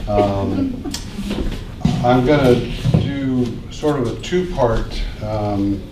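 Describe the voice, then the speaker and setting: A man from the Midwest giving a prepared speech as an invited speaker, measured and pausing often. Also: medium pitch, very noisy. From American Midwest. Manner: measured, reads from prepared remarks with pauses. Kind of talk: prepared speech at a public event. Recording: echoey room, mic at a distance.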